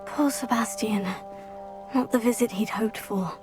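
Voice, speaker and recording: A woman voices a teenage British witch, a new student in a fantasy game. Her voice is a little high, polite and composed, with faint music behind it. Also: measured pace, close to an everyday voice. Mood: sympathetic. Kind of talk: video game voice acting.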